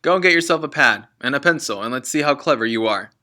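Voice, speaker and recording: A man voicing a boastful, crooked car-dealer father from a children's storybook, in a booming, smug and bossy manner. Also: lively intonation, fast, bright, forceful tone. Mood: bossy, brisk. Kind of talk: children's storybook read-aloud.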